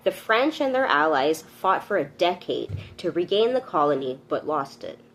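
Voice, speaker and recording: A woman's voice: low-pitched, very animated, moderate pace, fairly bright tone, poor audio. A woman reading a book aloud and adding informal, earnest commentary as host. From Canada.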